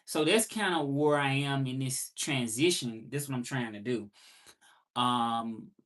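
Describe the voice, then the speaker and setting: A man's voice: mid-range voice, a little slow, a bit bright. A man in his thirties with a Southern US accent, talking things through in a reflective live monologue and repeating key phrases for emphasis. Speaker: livestream host.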